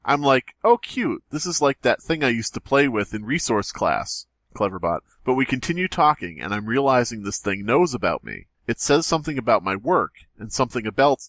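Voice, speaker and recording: Man, lively varied pitch, casual comic commentary, wisecracking, dry close sound. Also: fairly quick, hardly any pauses, dry recording. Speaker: podcast co-host.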